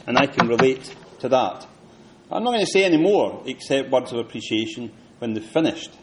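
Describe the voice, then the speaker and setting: A man's voice: mid-range voice, slight background noise. A man hosting a talk, introducing the guest speakers to the audience with a hesitant delivery. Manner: hesitant, many fillers and restarts. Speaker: event host introducing speakers.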